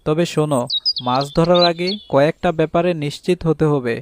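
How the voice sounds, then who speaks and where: Man acting practical village husband, higher-pitched voice. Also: a little fast, natural voice. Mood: encouraging. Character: village husband, fish farmer, practical and good-humoured peacemaker. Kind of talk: animated fairy tale voice acting. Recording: clearly noisy track with birdsong.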